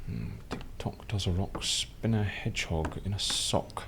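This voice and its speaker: A man's voice: deep voice, a little slow, fairly bright tone, a little hiss or hum. A man playing a fussy, eccentric imprisoned mastermind in an audio drama, speaking in a precise, musing way. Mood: musing, plotting. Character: imprisoned male mastermind planning fake assassinations, fussy and eccentric.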